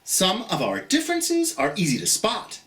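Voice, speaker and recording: A storytime host reads to children in a mid-pitched voice that rises and falls dramatically. The delivery is slow and encouraging, as if speaking straight to young listeners. The room adds a noticeable echo. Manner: expressive, encouraging, asks questions of young listeners. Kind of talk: animated read-aloud storytime for children. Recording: roomy, echoey sound.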